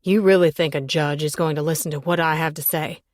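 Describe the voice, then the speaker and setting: A woman's voice: fairly even intonation, a little fast, no room sound, clean studio sound, lightly acted. Woman voicing a bitter, cold man. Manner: low gritted delivery, bitter and clipped.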